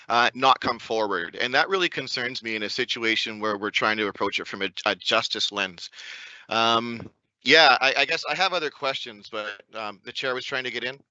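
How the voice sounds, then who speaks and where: A man's voice: medium pitch, bright, forceful tone, no echo. A man, a trustee, pressing a concern in a meeting, stammering with frequent restarts. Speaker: school trustee. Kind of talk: committee meeting debate. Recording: dull dry meeting audio.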